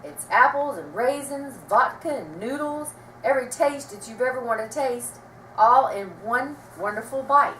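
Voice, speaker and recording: A woman's voice: high-pitched, animated, moderate pace, a bit bright, voice pushed up. A woman voicing a hungry, emphatic father in a storybook, declaiming his lines. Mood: exuberant delight. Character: hungry father, emphatic, exuberant about food. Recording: roomy, distant mic, faint noise.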